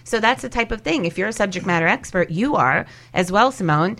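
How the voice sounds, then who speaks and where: A woman's voice: medium pitch, lively intonation, fairly quick, gravelly, fairly bright tone. A woman giving casual advice as an expert guest in a panel discussion, chatty and fond of acting out little quotes. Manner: chatty, illustrates points with acted-out quotes.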